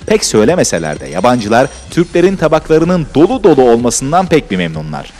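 Male narrator, scripted voice-over, animated, quick, soft music underneath. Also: medium pitch.

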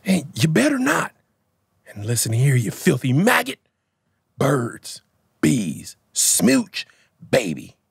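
Comedy sketch: grumpy grizzled sergeant, slow, barking, wildly swinging pitch. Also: male voice, wildly swooping pitch, no room sound, studio quality, exaggerated voice. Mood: gruff, rambling. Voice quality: gritty, gravelly. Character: gruff grizzled old war sergeant in a boy's body.

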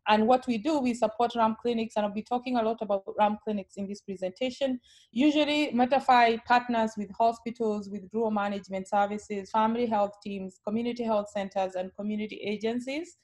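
A woman with a Kenyan accent, a nurse educator giving a friendly webinar presentation over a video call, often pausing on filler sounds. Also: fairly high pitch, fairly even intonation, darkish tone.

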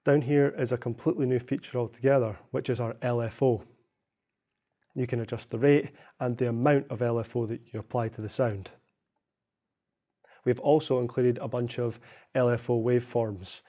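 A young man narrating a software tutorial in a measured, explanatory way. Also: medium pitch. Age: young adult. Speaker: software demonstrator.

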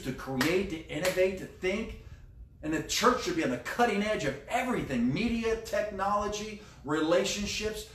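A middle-aged American man preaching a conversational sermon as a pastor, emphatic and repeating key phrases. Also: sits high. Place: indoor room beside a screen. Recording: roomy echo, distant microphone.

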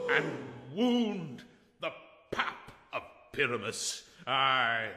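An actor playing a hammy amateur thespian as a doomed lover, declaiming Shakespearean verse melodramatically in a radio drama. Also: male voice, medium pitch, gravelly, pushed and bright, slight background noise, full character voice. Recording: echoey hall with long reverb.

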